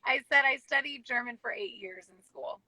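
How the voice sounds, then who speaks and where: Bright young woman, chatty and upbeat, dry close sound, guest on a call. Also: high voice, moderate pace, no echo, poor audio. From small-town United States. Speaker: youth ministry director, guest. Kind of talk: casual interview chat. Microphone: phone or video call from a car.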